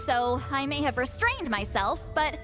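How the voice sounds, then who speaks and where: A woman's voice: high voice, sing-song, measured pace. An actress playing a blunt, proud video game character who is easily flustered, with music behind her. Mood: matter-of-fact. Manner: blunt, casual, hesitant when flustered.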